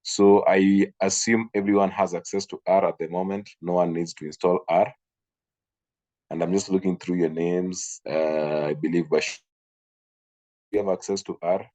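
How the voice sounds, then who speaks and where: A man from Kenya teaches a class over a video call. His voice sits very low. He talks haltingly, with fillers and repeated words. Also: fairly even intonation, darkish tone. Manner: hesitant, repeats himself, frequent fillers. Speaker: instructor. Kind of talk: online training session.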